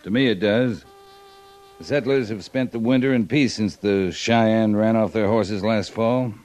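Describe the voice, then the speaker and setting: An actor plays a cavalry scout in an old-time radio western. His American voice sits low, matter-of-fact and firm, with an assured, clipped delivery. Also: steady tone, unhurried, a little mellow, faint music behind, lightly acted. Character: firm, plain-spoken cavalry scout reporting to his officer.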